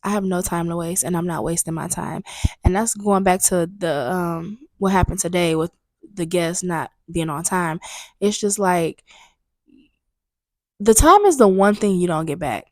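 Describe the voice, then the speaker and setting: A woman's voice: lowish voice, moderate pace, no echo, clean studio sound. A young adult woman hosting a solo podcast, talking candidly and chattily, a bit stuffy, repeating herself for emphasis. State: slightly stuffy. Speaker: podcast host. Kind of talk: solo casual podcast talk. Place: booked studio room.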